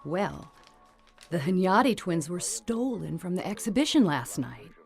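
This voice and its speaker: Lively, low-voiced gossipy friend. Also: female voice, measured pace, creaky, faint music behind, heavily acted. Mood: excited, incredulous. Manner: expressive, newsy. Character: earnest, gossipy female friend of a socialite. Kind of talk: radio drama acting.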